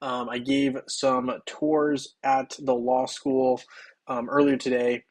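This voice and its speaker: A young man hosting a game livestream, his voice a little hoarse and scratchy. He keeps a casual, upbeat patter, welcoming chatters by name in a middle-pitched voice. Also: little pitch movement, slow pace. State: slightly hoarse.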